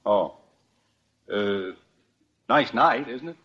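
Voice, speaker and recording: A man's voice: lowish voice, lively intonation, drawn-out words, a bit bright, exaggerated voice. An actor with an American accent playing a genial but stubborn middle-aged father in an old-time radio sitcom. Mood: awkward, sheepish. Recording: dull vintage radio broadcast recording.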